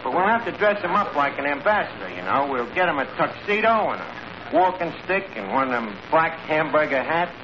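An actor with a New York accent playing a brash, scheming tavern manager in a radio comedy, wisecracking and mangling big words with mock pomp. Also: male voice, fairly high pitch, sing-song, a little fast. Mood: self-important, scheming. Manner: wisecracking, mock-pompous explanations full of malapropisms. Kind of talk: 1940s radio comedy acting. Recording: noisy, muffled old radio recording.

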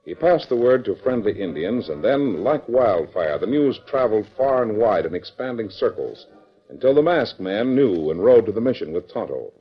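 Animated low-voiced old radio announcer man. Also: moderate pace, slightly rough, soft background music. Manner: dramatic. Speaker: announcer and narrator. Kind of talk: 1940s radio scripted narration. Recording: muffled vintage radio recording.

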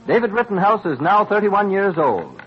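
A man's voice: sits high, huge pitch swings, moderate pace. A man reading scripted narration in an old-time radio style. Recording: dull vintage radio recording. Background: music.

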